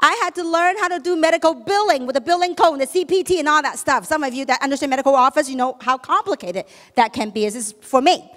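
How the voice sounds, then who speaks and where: A woman's voice: high voice, quick pace, few pauses. A woman with a Chinese accent gives a motivational business talk to an audience, often asking questions and then answering them. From China. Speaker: business speaker. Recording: echoey hall.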